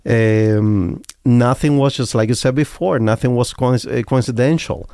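A man's voice: medium pitch, unhurried, creaky, mellow, clean tone, no echo, studio quality. A man from Cuba with a Cuban Spanish accent, talking earnestly and reflectively as an interview guest. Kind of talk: interview talk.

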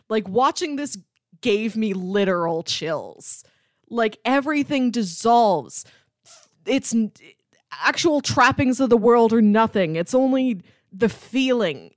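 A woman's voice: fairly high pitch, no echo. Enthusiastic woman, close dry sound. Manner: enthusiastic, emphatic, hyperbolic. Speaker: podcast co-host. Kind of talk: casual film discussion.

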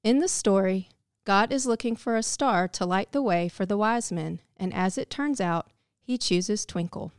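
A woman's voice: mid-range voice, moderate pace, clear, resonant tone, no room sound, studio quality. A woman giving a scripted, reflective devotional narration. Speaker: devotional speaker.

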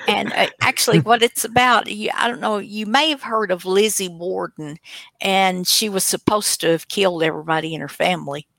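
A woman's voice: mid-range voice, fairly even intonation, brisk, creaky, a bit bright, no echo. A woman co-hosting a show with casual, rambling, folksy and teasing unscripted chat. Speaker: co-host of an old-time radio online show.

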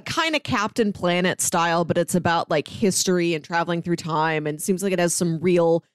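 A woman co-hosting a podcast, chatting casually and retelling a show in an animated way, acting out bits of dialogue. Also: lowish voice, no echo, studio quality. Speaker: podcast co-host. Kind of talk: casual chat recapping a TV show.

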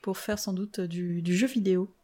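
A woman's voice: lowish voice, fairly even intonation, moderate pace, dark tone. A woman chatting casually as a podcast guest, playful and self-deprecating. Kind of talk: casual chat.

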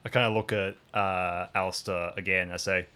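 A man's voice: low-pitched, flat delivery, moderate pace, bright, forceful tone, clear, resonant tone. A man playing a roleplaying game, casually improvising first-person narration of his character's actions. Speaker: roleplay player.

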